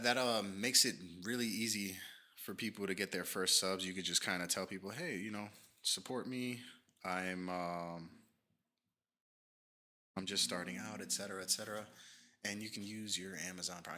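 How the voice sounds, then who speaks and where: A man's voice: deep voice, normal speed, long pauses, sharp tone. A man streaming and talking casually, a bit nasal from allergies, rambling with many fillers while he reads through notes. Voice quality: slightly nasal. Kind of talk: casual livestream commentary, reading from a page.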